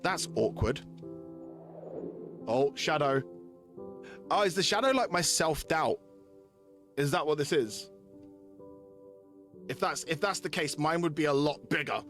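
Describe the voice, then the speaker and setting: A man's voice: fairly high pitch, lively intonation, measured pace, pauses often, fairly bright tone, faint music behind. A man live-streaming a game, chatting casually with viewers, repeating himself and reading story text aloud. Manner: repeats phrases while addressing chatters.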